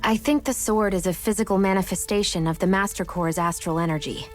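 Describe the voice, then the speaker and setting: A woman's voice: medium pitch, a little fast, faint music behind, lightly acted. An actress playing a cool, knowing young woman in a video game, matter-of-fact and precise as she explains. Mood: cool, explanatory.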